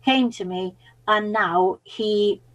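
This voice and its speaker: A woman's voice: medium pitch, takes it slowly, dull audio. Welsh-speaking woman, coach; chatty storyteller, mid-range voice. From Wales. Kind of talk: interview guest.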